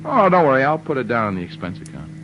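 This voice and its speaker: A man's voice: mid-range voice, very animated, fast talker, highs cut off, over loud music, natural voice. An actor playing a breezy, wisecracking young male author in 1940s radio drama style, joking and teasing. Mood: charming.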